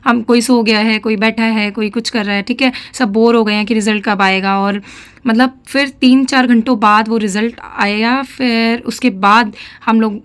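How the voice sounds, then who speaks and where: A woman's voice: on the high side, fairly even intonation, normal speed. A young woman with a slightly sore throat giving casual, chatty advice in a vlog and often checking in with her listeners. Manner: chatty, mixes English phrases, frequent "theek hai" check-ins. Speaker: defence exam aspirant vlogger.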